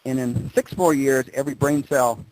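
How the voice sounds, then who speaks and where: A man's voice: mid-range voice, fairly even intonation, normal speed, a little mellow, slight background noise, rough recording. A man giving a motivational presentation, telling stories informally and repeating phrases for emphasis. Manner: informal, conversational storytelling. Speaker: motivational speaker.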